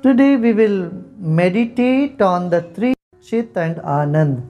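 A man leading a guided meditation, giving soft, calm instructions with long pauses. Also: high voice, highs cut off. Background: soft instrumental music.

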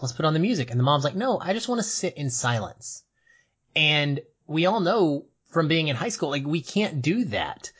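A man on a podcast reflects on a film through his own family memories, speaking conversationally and leaning on fillers. His voice is husky and breathy at a middling pitch. The recording sounds slightly dull. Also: fairly bright tone. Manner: personal storytelling, conversational fillers. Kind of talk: casual film discussion.

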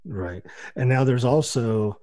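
An American man co-hosting a radio show on a boom mic, explaining things quickly and restarting often. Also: lively intonation, dark tone, dry recording. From United States. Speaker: podcast co-host. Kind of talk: casual radio show chat.

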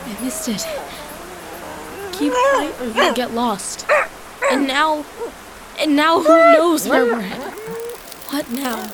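An actress playing a plucky young girl on a raft in an audio drama, earnest, chatty and expressive. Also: sits high, slow, pauses often, heavy background noise, heavily acted. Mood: dismayed. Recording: loud music under the voice.

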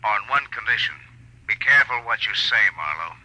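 Sharp, forceful police lieutenant. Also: male voice, fairly low pitch, animated, fairly quick, slight background noise, rough recording. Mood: curt, dry. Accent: American. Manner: curt, dry. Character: gruff, skeptical male police homicide lieutenant. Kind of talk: 1940s American radio drama acting. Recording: vintage radio, dry phone conversation.

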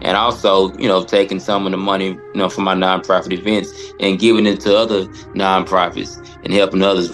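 Male comedian as podcast guest: very low gravelly voice, halting and reflective, faint music behind. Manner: halting, often repeats words. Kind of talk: casual, reflective interview answer.

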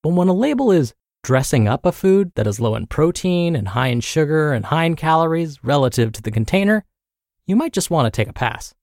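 An American man hosting a podcast, reading and explaining in a clear, instructive, emphatic way. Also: a little high, big pitch swings, a little fast, darkish tone, no echo, clean studio sound. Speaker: podcast host and nutrition teacher. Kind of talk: scripted narration and spoken commentary.

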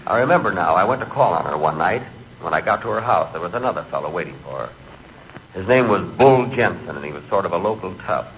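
Low-voiced timid neurotic man. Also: animated, fast talker. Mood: deadpan, confiding. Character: timid, neurotic, comically vain man narrating his misfortunes. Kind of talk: 1940s radio comedy acting and narration. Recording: muffled, hissy 1940s radio recording.

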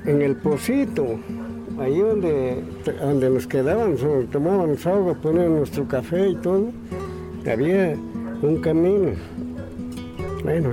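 A man's voice: fairly high pitch, poor audio. A man from Mexico telling a local story in a rambling, hesitant way, interviewed. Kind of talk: casual storytelling.

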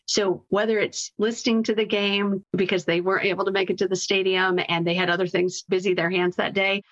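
A woman's voice: medium pitch, level tone, brisk. Woman interview guest on slightly muffled audio. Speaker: interview guest, marketing executive. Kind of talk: conversational interview talk.

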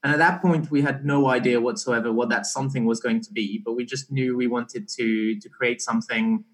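A young man talking as a podcast guest in a relaxed, halting way, often restarting his sentences. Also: medium pitch, poor audio. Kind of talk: casual conversational interview.

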